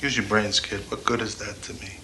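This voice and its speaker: An actor playing a calm, needling older master pool player in an American accent, speaking in a measured, deliberate way for a television drama. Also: male voice, lowish voice, a little hiss or hum, rough recording. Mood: coolly bargaining. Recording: television soundtrack with music behind.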